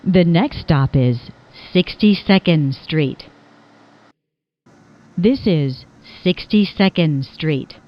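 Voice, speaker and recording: Lofi subway announcer lady, really slow n formal, clear words, muffled recording. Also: sits low, expressive. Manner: measured, clearly enunciated, formal. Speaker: automated subway train announcer. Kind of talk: scripted transit announcement. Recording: dull lo-fi recorded train announcement.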